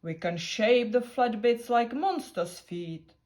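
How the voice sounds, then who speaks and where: A woman voicing a clever, calm male elephant in a storybook, thoughtful and reassuring. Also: fairly high pitch, slow pace, voice pushed up. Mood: scheming, explanatory. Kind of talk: storybook character reading.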